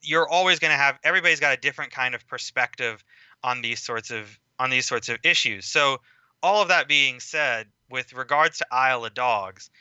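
A man talks through a film discussion as a podcast guest, steady and explanatory, laying out his points at length. His voice is very bright and crisp with a smooth clarity. The recording is dry and a touch dull, lo-fi podcast audio. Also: medium pitch, no echo. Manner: measured, explanatory, lecturing at length.

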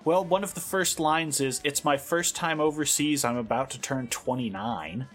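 A woman in her thirties discussing albums casually as a podcast co-host, giving measured, considered opinions. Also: a bass voice, soft background music.

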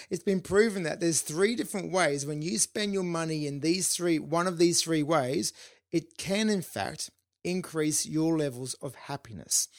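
Upbeat man hosting a podcast, slightly hoarse. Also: on the high side, no room sound, clean studio sound. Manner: upbeat, informal, with fillers and restarts. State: slightly hoarse, losing his voice. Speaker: podcast host. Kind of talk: solo podcast monologue.

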